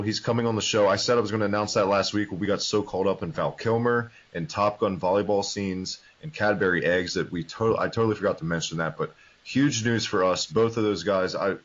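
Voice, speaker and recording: Casual podcast host, man, a bit under the weather, low-ish voice, dull lo-fi sound. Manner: rambling, joking, self-correcting.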